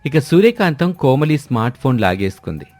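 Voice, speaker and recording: A man's voice: mid-range voice, sing-song, fast talker, darkish tone, dry recording. A man narrating a scripted moral story as its storyteller. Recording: music behind the voice. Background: music.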